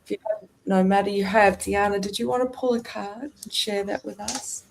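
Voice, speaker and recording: A woman hosting a spiritual podcast over a video call, speaking in a slow, reverent, ceremonial way as she leads an invocation. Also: medium pitch, fairly even intonation, vocal fry, rough recording. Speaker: podcast host.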